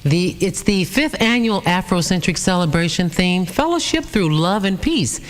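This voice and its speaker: A woman hosting a gospel radio show, chatting warmly with callers and reading announcements. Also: on the low side, lively intonation, normal speed. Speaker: radio host. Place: radio studio.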